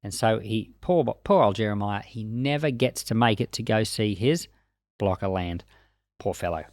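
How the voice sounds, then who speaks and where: A man's voice: on the low side, moderate pace, darkish tone, no room sound, studio quality. A man teaching the Bible in an informal, conversational way, using colloquial phrasing and acting out little asides as he comments.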